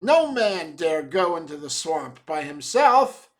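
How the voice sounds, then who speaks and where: A grizzled old small-town man acted by a storyteller, a man. He is fearful and emphatic, stretching his words slowly like an ominous warning in a dramatic tale. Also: fairly high pitch, sing-song, a bit bright, voice pushed down, exaggerated voice. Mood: agitated warning.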